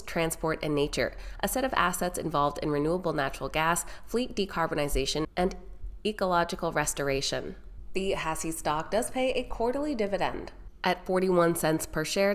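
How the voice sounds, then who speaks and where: Young female market-video host, low-ish and nasal with sinus congestion, upbeat scripted delivery to camera. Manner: upbeat, direct address to viewers. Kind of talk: scripted market news presenting.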